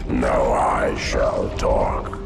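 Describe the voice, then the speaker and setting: An actor voicing a vast ancient hive-mind creature in a game, menacing and grandiose in a guttural growl. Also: male voice, extremely low pitch, steady tone, gravelly, exaggerated voice. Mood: menacing, oracular. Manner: slow, grandiose, rhyming pronouncements. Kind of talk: video game villain acting. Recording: loud music behind.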